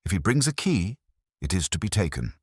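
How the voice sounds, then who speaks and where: A man voicing an imperious master detective in an audiobook, speaking formally, deliberately and tersely. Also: low voice, big pitch swings, husky, no echo, studio quality, heavily acted. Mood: commanding, terse.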